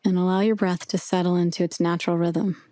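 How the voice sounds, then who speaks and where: A woman narrating a guided meditation with soothing, measured, gentle instruction. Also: lowish voice, little pitch movement, dry recording.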